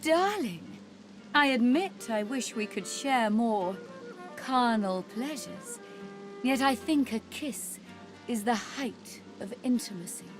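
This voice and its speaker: A woman's voice: fairly high pitch, animated, music in the background. A woman voicing a seductive, menacing were-spider in woman's form, speaking in a sultry, formal manner for a fantasy game. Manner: slow, sultry, formal phrasing.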